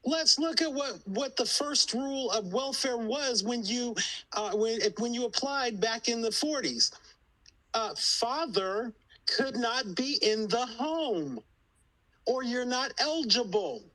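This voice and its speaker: A woman's voice: fairly high pitch, animated, slow pace, a bit bright, rough recording. A woman in her sixties telling a family story and urging others to answer stereotypes in a group discussion. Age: 60s. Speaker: discussion participant.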